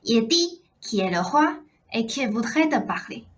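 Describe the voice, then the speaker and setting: Woman acting young daughter, very high voice, flustered, halting, insistent, theatrical radio drama. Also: very animated. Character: hesitant, flustered young daughter calling on her mother.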